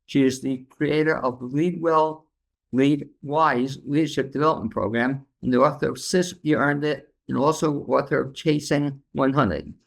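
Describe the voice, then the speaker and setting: A man's voice: mid-range voice, fairly even intonation, normal speed, vocal fry, low-quality recording. A man hosting a podcast interview, chatting in a loose, easygoing way with plenty of fillers. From New York City. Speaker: podcast host. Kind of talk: casual interview.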